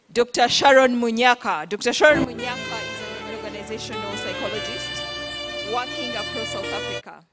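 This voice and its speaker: A woman acting as event MC, giving a formal, measured introduction of the next speaker. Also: medium pitch, fairly bright tone. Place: large conference hall. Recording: long hall reverb.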